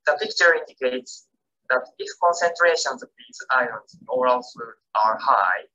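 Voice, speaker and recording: A man whose first language is Japanese, reading a scripted academic lecture as keynote speaker in a careful, measured way. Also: medium pitch, lively intonation, bright, forceful tone, highs cut off. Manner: careful, measured reading with non-native grammar.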